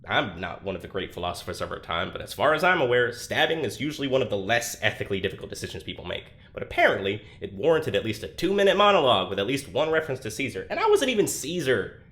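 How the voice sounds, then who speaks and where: An actor playing a troubled, anxious young man in a confessional audio drama, speaking haltingly with self-deprecating, dry sarcasm. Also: mid-range voice, very animated, a bit bright. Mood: bitter, sardonic.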